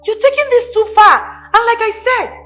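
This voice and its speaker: Firm young woman arguing, super high sharp voice, emphatic, drama scene. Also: moderate pace, soft background music. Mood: defensive. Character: insistent young woman defending a man to her friend. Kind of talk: screen drama acting.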